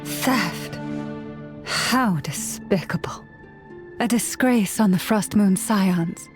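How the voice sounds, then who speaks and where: Woman doing solemn game priestess, slow n formal, lowish for a girl, calm poetic, music behind. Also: close to an everyday voice. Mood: grave. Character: serene, solemn young moon priestess. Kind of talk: video game cutscene acting.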